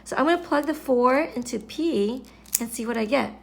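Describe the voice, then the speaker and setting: A woman with a raspy voice from being sick, patiently explaining a math lesson step by step and clearing her throat now and then. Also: high-pitched, moderate pace. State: raspy from illness. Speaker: math teacher. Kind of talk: screen-recorded math lesson.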